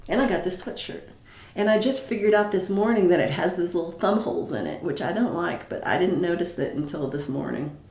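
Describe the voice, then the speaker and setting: A woman hosting a casual livestream chat, rambling with many fillers and restarts, with a low voice. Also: a little fast, few pauses, darkish tone, reverberant. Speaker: livestream host. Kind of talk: casual live chat reading comments.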